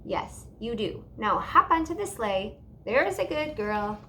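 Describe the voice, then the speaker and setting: Storybook aunt played by a woman, high-voiced, theatrical, fond and used to being obeyed. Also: big pitch swings, a little slow, sharp tone, a little hiss or hum, heavily acted. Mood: fond, brisk. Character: Auntie Claus, affectionate commanding grand aunt.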